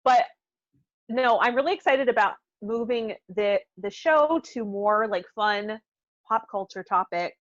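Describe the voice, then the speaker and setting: A woman's voice: fairly high pitch, measured pace. Woman podcast co-host, slightly dull audio. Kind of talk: casual podcast chat.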